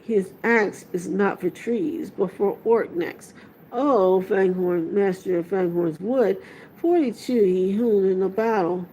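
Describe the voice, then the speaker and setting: A woman's voice: mid-range voice, slow, mellow, slight background noise, natural voice, poor audio. A woman voicing a courteous, loyal male elf in a storybook, with formal, earnest phrasing.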